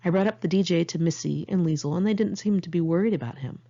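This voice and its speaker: Husky, creaky-voiced woman reading her memoir. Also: on the low side, fast talker, mellow. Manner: conversational, candid, slangy. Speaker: author reading own memoir. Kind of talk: audiobook narration of a personal memoir, read in one take. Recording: muffled lo-fi amateur recording.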